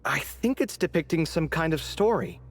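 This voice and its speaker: A woman playing a sardonic, inquisitive young adventurer in a video game, with a dry, expressive delivery. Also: low-pitched, moderate pace, exaggerated voice. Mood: curious. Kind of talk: video game character dialogue.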